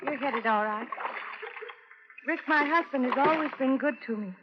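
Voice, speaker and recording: A woman's voice: high-pitched, moderate pace, heavy background noise, lightly acted. An actress playing a guarded, regretful young woman in an old radio crime drama, earnest and melodramatic. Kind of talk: 1940s-50s radio drama acting. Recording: muffled old radio recording, long reverb.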